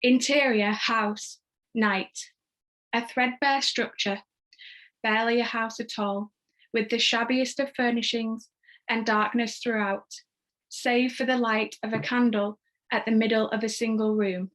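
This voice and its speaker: Higher-voiced woman reading a script. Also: fairly even intonation, a little slow, a bit bright, poor audio. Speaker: stage-direction reader and host. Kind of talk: scripted narration of a screenplay.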